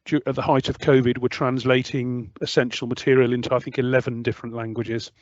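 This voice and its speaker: A man chairing an online public meeting, polite and measured as he hands over to speakers. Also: mid-range voice, little pitch movement, dry recording. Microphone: video call. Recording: muffled, dry video call audio.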